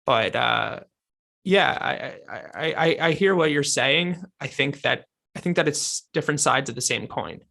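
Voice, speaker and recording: A man hosting a podcast chat with a gravelly edge and a bright, tense tone. He thinks aloud, circling back and restarting as he works through his point. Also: on the high side, animated, measured pace. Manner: thoughtful, hesitant restarts. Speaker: podcast host.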